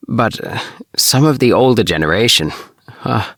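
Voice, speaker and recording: A man voicing an easygoing, chatty young alien spaceman in a storybook, with relaxed, hesitant phrasing. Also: lowish voice, moderate pace, no echo, studio quality, pitched above the performer's own voice. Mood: fond, amused. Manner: relaxed, with sighs and hesitant uhs.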